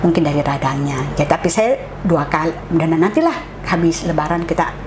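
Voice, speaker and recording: Older woman guest, low-pitched, rambling storyteller, audible background noise. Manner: chatty, halting, lively quoted asides. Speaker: cancer survivor guest. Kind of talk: casual storytelling interview.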